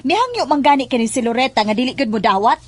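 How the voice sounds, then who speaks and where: A woman's voice: sits high, sing-song, fast talker, husky, exaggerated voice. A woman playing a reasonable schoolteacher, protesting respectfully in an audio drama. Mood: pleading. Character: pleading, reasonable female schoolteacher.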